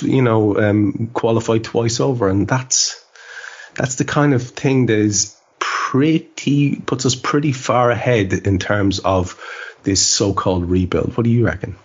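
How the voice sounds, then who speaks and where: A man hosting a football podcast, talking conversationally in a measured, wordy way with hesitant phrasing. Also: lowish voice, husky, dull audio. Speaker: podcast host.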